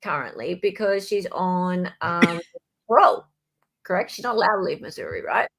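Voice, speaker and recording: A woman's voice: on the low side, sing-song, normal speed, creaky, fairly bright tone, a little hiss or hum. A woman hosting a casual live legal commentary chat, talking informally and directly to her viewers. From Australia. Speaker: livestream host.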